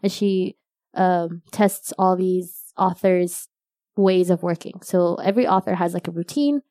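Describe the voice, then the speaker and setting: A young woman whose first language is Arabic, chatting casually as a guest in an interview, self-deprecating and quick to laugh. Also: fairly low pitch, mellow, dry recording, studio quality. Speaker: novelist guest.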